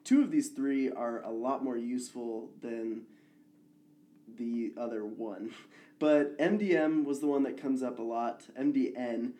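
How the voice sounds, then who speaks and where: A man co-hosting a podcast in casual chat, slightly hoarse, chatty and quick to laugh, often restarting his sentences. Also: mid-range voice, fairly even intonation, warm tone. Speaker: podcast co-host, newer coder.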